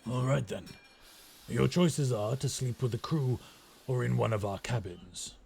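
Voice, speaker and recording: A man's voice: medium pitch, unhurried, vocal fry, darkish tone, a little hiss or hum. A man playing a roguish, mercenary skyship captain with wry, courteous, old-fashioned speech in an immersive audio roleplay. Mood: dryly welcoming, haggling. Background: ambient sound effects.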